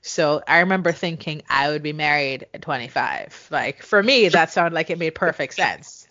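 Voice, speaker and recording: Brief: thirties woman, podcast co-host, very low voice, frank and laughing, dull lo-fi audio. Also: expressive, normal speed, bright, forceful tone, dry recording. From Jamaica. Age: 30s. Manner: candid, laughing, frequent swearing. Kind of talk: casual chat.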